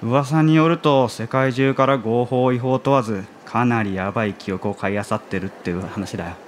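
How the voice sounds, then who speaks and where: An actor playing a gossipy, knowing observer of shady dealings in a radio drama, casual in manner. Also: male voice, mid-range voice, normal speed, a little hiss or hum, lightly acted. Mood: ominous gossip. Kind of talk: Japanese radio drama acting.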